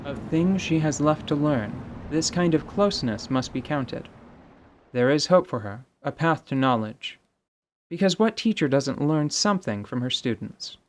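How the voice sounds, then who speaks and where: A man's voice: a little high, expressive, normal speed, slight background noise. A man giving scripted, dramatic narration as the narrator of a fantasy audio show.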